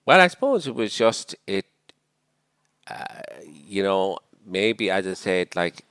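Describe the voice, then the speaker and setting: Older dublin irish man, very low smooth voice, halting speech, dry close sound. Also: big pitch swings, a bit bright, no room sound. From Dublin, Ireland. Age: 60s. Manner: halting, with many fillers and restarts. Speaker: priest guest. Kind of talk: reflective interview talk.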